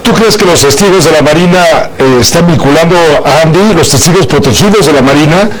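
A man hosting a radio interview who asks brisk, direct questions and then thanks his guest. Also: fairly high pitch, noisy background.

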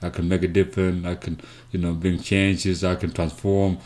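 A man with a very low voice and a South Sudanese accent answers as an interview guest. He tells his story haltingly, pausing often and repeating words as he goes. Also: level tone, a little mellow. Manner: halting, with frequent pauses and repetitions. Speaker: interview guest, community organization founder.

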